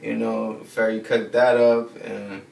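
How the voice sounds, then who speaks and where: A man's voice: fairly low pitch, flat delivery, slow pace, gravelly, a little mellow. A young man from Jamaica, a rapper being interviewed, talking casually in a laid-back way with slang and "feel me" tags, heard from a distant mic in a roomy studio. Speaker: rapper guest. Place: studio set with brick backdrop. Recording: roomy echo, distant mic, faint hiss.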